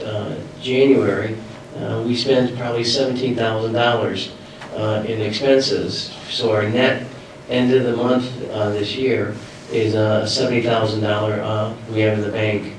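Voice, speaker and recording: A man's voice: on the low side, level tone, unhurried. A man with a head cold, sounding nasal, giving a treasurer's report at a board meeting with many fillers. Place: meeting hall. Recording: echoey hall, distant mic, faint noise.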